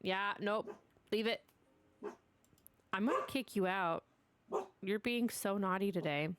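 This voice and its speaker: A woman's voice: medium pitch, takes it slowly, background noise. A young woman streaming a game, stuffy and sniffly from allergies, chatting to herself and her dog as she builds. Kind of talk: casual gameplay commentary.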